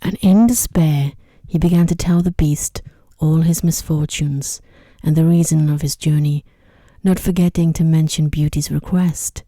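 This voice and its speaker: A woman narrates a sleep story in ASMR style. Her voice is low and extremely mellow, soft and breathy, often close to a whisper. The sound is dry and intimate, right at the microphone. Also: fairly even intonation, unhurried, dry recording.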